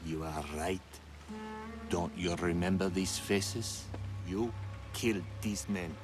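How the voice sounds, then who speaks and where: An actor playing a calm, sadistic game villain who taunts in a mocking, menacing manner. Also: male voice, deep voice, slow pace. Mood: menacing, gloating. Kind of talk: dramatic video game acting. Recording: dramatic music behind the voice.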